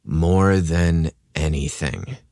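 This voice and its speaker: A very low-voiced, seductive barista lover in a romance storybook, acted out by a male narrator. He speaks slowly and smoothly, with intense longing. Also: expressive, darkish tone, no echo, studio quality, full character voice. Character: sexy, intense Italian male barista in love. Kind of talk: romantic audio storybook acting.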